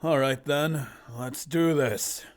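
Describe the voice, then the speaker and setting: A man's voice: fairly high pitch, animated, measured pace. A man voicing a confident, taunting wandering swordsman from a fantasy story. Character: lone cloaked swordsman, confident male wandering hero.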